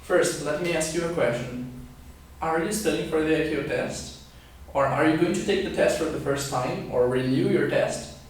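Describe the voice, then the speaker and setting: A man's voice: a little high, normal speed. A man in his twenties from Scotland and Brazil giving an instructional video lesson, explaining step by step and often checking in with okay. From born in Scotland, raised partly in Brazil. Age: 20s. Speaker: English teacher and pilot giving a lesson. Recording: very echoey room, distant microphone.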